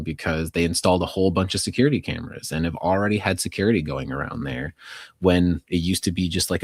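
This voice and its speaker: A man's voice: deep voice, darkish tone, dry recording, studio quality. An American man co-hosting a podcast, talking through local news in a casual, conversational, hedging way with plenty of "like". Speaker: podcast co-host.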